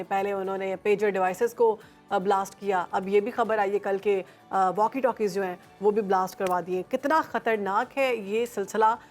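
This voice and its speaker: A woman with a Pakistani accent, interviewing as the host of a current affairs show. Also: mid-range voice.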